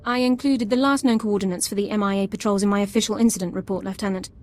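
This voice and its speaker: A woman's voice: mid-range voice, steady tone, quick pace, darkish tone, natural voice. Actress as a formal military sergeant woman. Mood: formal, grave. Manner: formal, regimented, clipped military phrasing. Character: crisp, by-the-book female military sergeant, formal and dutiful. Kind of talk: video game character acting. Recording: game dialogue over background music.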